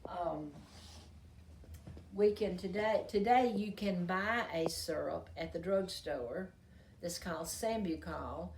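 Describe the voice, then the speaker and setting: An older woman with a low, slightly hoarse voice explains remedies in a folksy, rambling way, stopping and restarting often. She is recorded indoors at a museum's old doctor's office in an echoey room. The mic sits some way off from her. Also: darkish tone, distant mic, a little hiss or hum. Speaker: herbal medicine demonstrator. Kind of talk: casual show-and-tell explanation.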